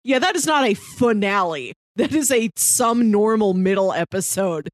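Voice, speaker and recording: A woman's voice: mid-range voice, lively intonation, normal speed, dry recording, studio quality. A woman co-hosting a podcast, chatting casually as she reviews a show. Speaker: podcast co-host.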